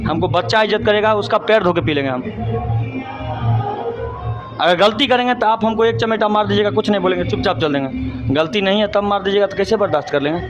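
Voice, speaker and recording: Man, Bihari accent, high voice, heated interview answers, emphatic, repetitive, music behind. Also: fairly quick, highs cut off. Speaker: stage show performer, interviewee.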